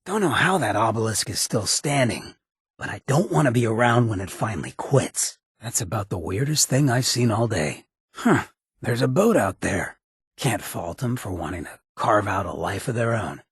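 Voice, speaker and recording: An actor playing a swaggering, irreverent outlaw drifter in a video game, with a raspy, gravelly voice and a slangy, sardonic drawl. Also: male voice, lowish voice, lively intonation, moderate pace, dry recording, clean studio sound, exaggerated voice. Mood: wry, sardonic. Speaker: video game companion character. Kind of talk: scripted game dialogue, wry banter.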